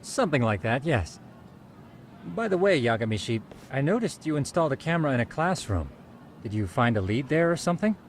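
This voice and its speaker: A man's voice: mid-range voice, very animated, brisk, slight background noise. A man playing a polite, eager, nerdy tech expert in a video game, speaking precisely and formally. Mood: pleased, chatty. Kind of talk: video game cutscene dialogue.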